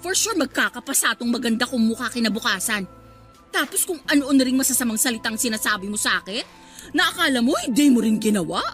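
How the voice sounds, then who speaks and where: A woman's voice: fairly high pitch, animated, fast talker, a bit bright. A woman playing a brazen, catty mistress with a Filipino accent and a mocking manner in a radio melodrama. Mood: smug, taunting. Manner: sassy, mocking. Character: brazen, taunting young mistress, shameless and catty. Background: dramatic music.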